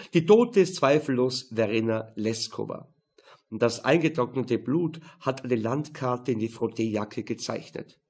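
A male narrator reads a crime novel for an audiobook. His voice sits in a middle register and has a husky, unpolished grain. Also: mid-range voice.

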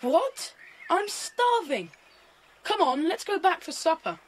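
Woman playing plucky, high-pitched English child. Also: very animated, background noise, exaggerated voice. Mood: confident, brisk. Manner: brisk, plucky. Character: bold, confident, adventurous English child. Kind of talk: children's audio drama acting.

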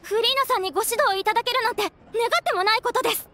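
A woman's voice: very high-pitched, rapid-fire, bright, forceful tone. A woman voicing a polite, devoted, wistful young theatre performer in a game, earnest and formal. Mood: grateful. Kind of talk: Japanese game voice acting.

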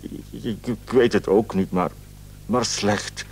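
A man's voice: on the low side, steady tone, slow, gravelly, breathy edge, exaggerated voice. An actor playing an anxious, ailing husband in a radio drama, stammering and hesitant as he frets. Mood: distressed. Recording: noisy old radio play recording.

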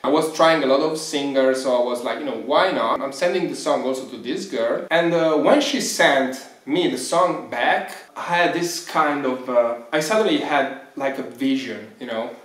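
A man in his thirties with an Italian accent, telling the story of his band in a relaxed, animated sit-down interview. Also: medium pitch. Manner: animated storytelling, quick bursts. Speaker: band founder and guitarist. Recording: echoey room, mic set far.

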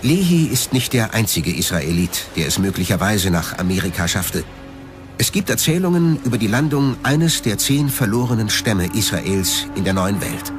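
Male documentary narrator, low and lively. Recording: music bed under the narration. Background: background music.

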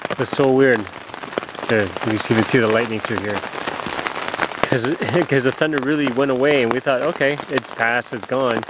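A middle-aged man talking to a camera held close inside a small tent at night, at a middling pitch. He rambles and restarts his sentences as he recalls events. Loud wind and rain hammer the tent behind him. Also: moderate pace. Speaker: canoe trip vlogger. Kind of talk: casual narration to camera. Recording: outdoor storm noise. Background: wind, rain and pine needles on the tent.

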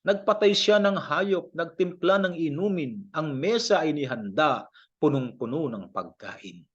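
Filipino male, high voice, lively swooping intonation, declamatory scripture reading, dull lo-fi recording. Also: a little slow, husky. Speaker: audio Bible reader.

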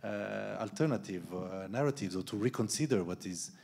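A man's voice: fairly low pitch, moderate pace, creaky, darkish tone, breathy edge. A man in his fifties moderating a panel discussion, reflective, posing broad questions into a handheld mic. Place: event stage with panel tables, indoors.

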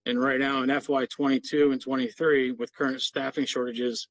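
A man's voice: medium pitch, flat delivery, normal speed. A middle-aged man giving an explanatory, businesslike slide presentation as a parks maintenance official on a video call. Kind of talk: slide presentation to a board meeting.

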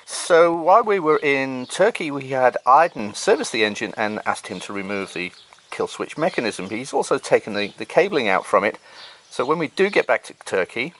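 A middle-aged man hosting a sailing vlog, talking in a relaxed, matter-of-fact way outdoors in a boatyard and narrating voice-overs. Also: mid-range voice, moderate pace, a little hiss or hum. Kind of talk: casual chat and voice-over narration.

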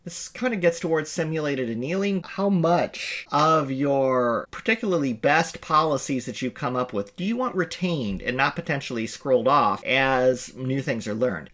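A middle-aged man teaching a coding lecture over a screen recording, explaining in a relaxed way with small restarts, recorded in a home office. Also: medium pitch. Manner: explanatory, self-correcting, relaxed. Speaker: instructor.

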